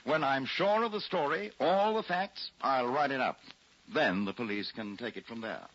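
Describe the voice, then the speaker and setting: Pompous, husky theatre critic. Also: male voice, mid-range voice, moderate pace, fairly bright tone. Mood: haughty, businesslike. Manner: theatrical, condescending, cutting. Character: pompous, haughty male newspaper drama critic. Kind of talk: 1940s radio drama acting. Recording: dull lo-fi old radio recording.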